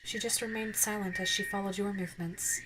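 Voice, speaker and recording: A woman reading a scripted second-person ASMR story as narrator, in a soft, dreamy storytelling manner. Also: medium pitch, steady tone, brisk, very noisy. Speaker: narrator and voice actress. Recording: music under the narration. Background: instrumental music.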